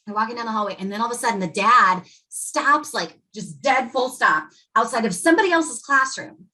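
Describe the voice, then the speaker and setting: A woman educator leading a workshop for teachers, talking with lively energy and acting out quotes from students and parents. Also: on the high side, brisk, rough recording. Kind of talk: presenting to educators.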